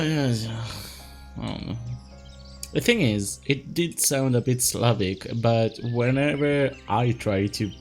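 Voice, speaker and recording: Chatty, slow-talking young man, native Italian speaker. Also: medium pitch, darkish tone. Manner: rambling, self-mocking, laughs often. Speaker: let's play host. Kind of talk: casual gaming commentary.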